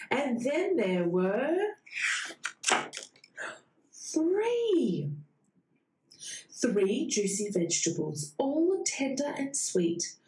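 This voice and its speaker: A woman reading a bedtime storybook to young children in a sing-song way, with dramatic pauses before key words. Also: medium pitch, darkish tone. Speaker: children's read-aloud host. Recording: roomy echo.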